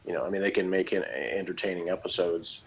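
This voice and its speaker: A man's voice: deep voice, fast, vocal fry, lo-fi sound. A man co-hosting a casual, opinionated episode review in a rambling, loosely phrased way, with faint noise behind him. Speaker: review show co-host.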